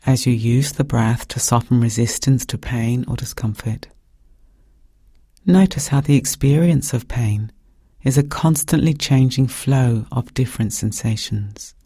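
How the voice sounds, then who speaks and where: A man with a soft, breathy voice guiding a meditation in calm, gentle, measured phrases with pauses. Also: medium pitch, dry recording, clean studio sound. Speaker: meditation guide. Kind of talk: guided meditation narration.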